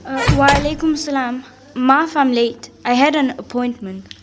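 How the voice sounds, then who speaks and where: A woman's voice: high-pitched, fairly even intonation, moderate pace, big-room sound, noisy background, natural voice. An actress playing a guarded, introspective young woman in an audio drama. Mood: apologetic. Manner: apologetic, introspective narration.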